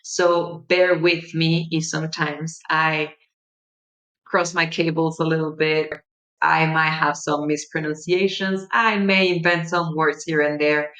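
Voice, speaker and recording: A young woman from Mexico, a native Spanish speaker with a Mexican Spanish accent, hosting her podcast in a gentle, reflective monologue with soft pauses. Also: on the low side, poor audio. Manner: gentle, warm-hearted, unhurried with soft pauses. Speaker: podcast host.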